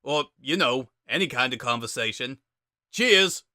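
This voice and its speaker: Man acting out a laid-back joker, sardonic, casual drawl, bright tone, close dry sound, storybook reading. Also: medium pitch, animated, a little slow, no room sound. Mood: breezy. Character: laid-back, wry male joker with a weary edge. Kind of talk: dramatic reading of fan fiction.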